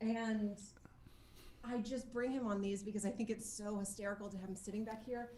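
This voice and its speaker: A woman chatting casually as an interview guest, telling anecdotes in an animated, jokey way, with laughter close at hand. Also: mid-range voice, a little fast, roomy sound, slight background noise. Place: at home, indoor room.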